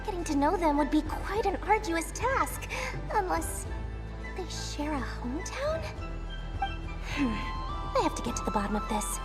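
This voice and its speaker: Very high-pitched greedy little merchant girl. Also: big pitch swings, measured pace, pauses often. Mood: astonished, suspicious. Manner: theatrical, salesy. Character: scheming, greedy little female merchant running a prize stall. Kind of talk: video game voice acting. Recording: music behind the voice.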